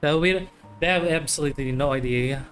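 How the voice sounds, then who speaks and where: Offhand game streamer with mid-pitched voice. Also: fairly quick, a bit bright. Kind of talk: casual gameplay commentary. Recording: faint game music behind.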